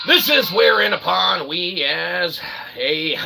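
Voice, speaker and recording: A man's voice: sits high, expressive, takes it slowly, gravelly. A man hosting a comedic internet show in a rambling, tongue-in-cheek, mock-grandiose and wordy way, on a noisy home recording.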